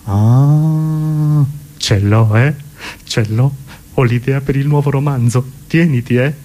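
Man doing excitable artist pitching absurd ideas. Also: takes it slowly, lightly acted. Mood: excited, self-satisfied. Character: excitable male novelist or artist pitching his absurd idea.

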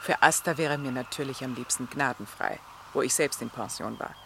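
An actress playing a middle-aged countess and worried mother in a radio play, formal, measured and well-bred. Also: a bass voice, vocal fry, bright, forceful tone, slight background noise, exaggerated voice. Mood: earnest, worried. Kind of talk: German radio play acting.

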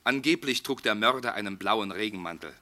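An actor playing a courteous, shrewd and self-assured police inspector in a German radio play. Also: male voice, lowish voice, expressive, fairly quick, bright, forceful tone. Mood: confident, explaining.

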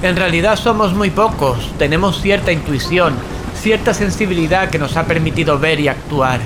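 A man's voice: a little high, moderate pace, noisy background, lightly acted. A man with a Castilian Spanish accent playing a grave, foreboding friend, earnest and ominous, in an audio drama. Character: grave, foreboding friend steering toward a secret rendezvous. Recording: loud music bed under the voice. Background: loud music.

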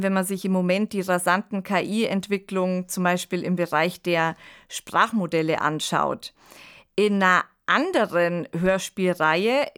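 A woman hosting a podcast with a scripted presenting style. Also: medium pitch. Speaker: podcast host.

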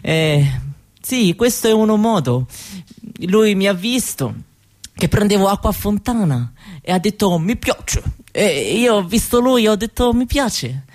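A woman's voice: medium pitch, sing-song, unhurried, a little mellow. An actress plays a cheerful young newlywed woman. She talks proudly and playfully, as if answering an interviewer, hunting for words in simple, broken sentences. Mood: chatty, proud. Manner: broken grammar, searching for words, giggly. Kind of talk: theatrical monologue as if answering an interviewer.

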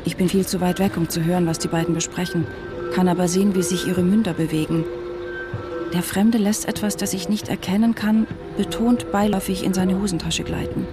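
An actress playing a watchful, suspicious wife who narrates tersely in a radio drama. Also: female voice, fairly low pitch, fairly even intonation, fairly quick, natural voice. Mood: watchful, tense. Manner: terse, observant. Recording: music under the voice.

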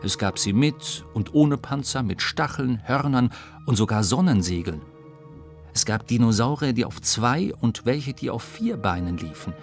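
A man narrating a children's science audiobook in a dramatic storytelling style, with deliberate pauses and emphasis. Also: mid-range voice, animated, soft background music. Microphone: close studio microphone, dry.

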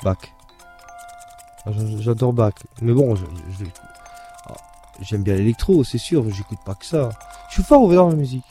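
Man with a Belgian French accent, rambling and repetitive, warm darker tone, faint music behind. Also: medium pitch, moderate pace, long pauses. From France. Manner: rambling, repeats himself. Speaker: composer of a duo. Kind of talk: casual interview answers.

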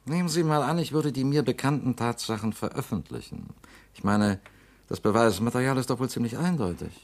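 A man's voice: medium pitch, expressive, fairly quick, lightly acted. An actor playing a scheming politician who presses his case with insinuation and veiled threats in a radio play. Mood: threatening. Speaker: politician confronting a company director. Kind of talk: radio drama acting.

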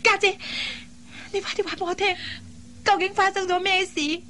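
High-voiced emotional young woman. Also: little pitch movement, normal speed, sharp tone, a little hiss or hum. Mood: urgent. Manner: melodramatic, pleading. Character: emotional young woman, injured crash survivor and younger sister. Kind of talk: Cantonese radio drama acting.

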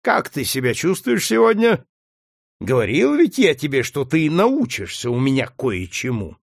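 Man reading a cruel slave master, high strained barking voice, loud and harsh, dry close sound. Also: sing-song, normal speed, dry recording. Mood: mocking. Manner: harsh, shouting, mocking. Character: brutal cruel male plantation master.

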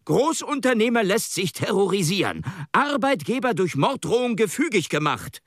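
A stormy young businessman in a radio drama. His fairly high voice swings widely in pitch as he presses each point emphatically. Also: very animated, normal speed, fairly bright tone, dry recording, studio quality. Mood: outraged, urgent. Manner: impetuous, emphatic. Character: stormy, forceful, ambitious young businessman nephew. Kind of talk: German radio drama acting.

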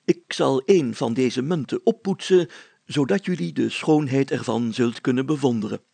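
A man's voice: medium pitch, moderate pace, gravelly, mellow, husky, dry recording. A man voicing a pompous, fussy village mayor in an audiobook, speaking formally and stumbling into self-corrections. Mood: excited, self-important.

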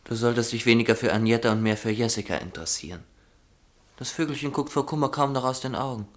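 An actor playing a guarded, ironic young upper-class son in a radio drama, with a clipped, dryly teasing way of talking. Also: male voice, medium pitch, fast talker, close to an everyday voice. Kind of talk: German radio drama acting.

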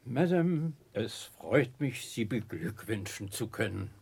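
Meek, slow-spoken gentle doctor. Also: male voice, on the low side, animated, gravelly, slightly rough, exaggerated voice. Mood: meek, hesitant. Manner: hesitant, deferential. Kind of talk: 1960s German radio drama acting.

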